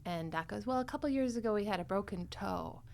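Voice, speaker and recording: Woman, podcast host, mid-pitched voice, casual chat, animated storyteller, giggly. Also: fast talker, vocal fry, slight background noise. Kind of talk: casual chat about a comedy drama podcast.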